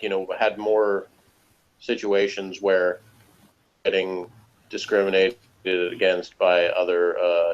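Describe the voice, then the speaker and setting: A man in casual review chat, rambling and opinionated, as a podcast reviewer. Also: on the low side. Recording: dull, muffled lo-fi sound.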